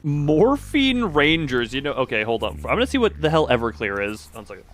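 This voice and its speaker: Rambling, excitable male game streamer over music. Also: on the high side, fairly quick. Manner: rambling, excitable, talking to himself. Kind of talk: casual live gaming commentary, thinking aloud.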